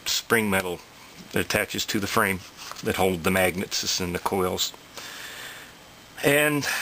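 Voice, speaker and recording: A man giving an informal, unscripted technical explanation, haltingly thinking aloud and repeating points for emphasis. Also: on the low side, creaky, sharp tone, a little hiss or hum, low-quality recording. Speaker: hobbyist presenter explaining electronics.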